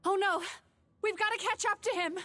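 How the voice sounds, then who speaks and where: A woman's voice: extremely high pitch, steady tone, normal speed, bright, forceful tone. A woman voicing a resolute, caring young dream healer in a video game, speaking earnestly and with composure. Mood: urgent. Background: background music.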